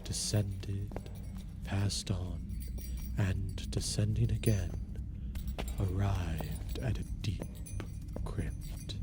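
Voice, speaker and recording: A man narrating a gothic story in a measured, formal, dramatic style. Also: sits low, steady tone. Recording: loud music behind the narration.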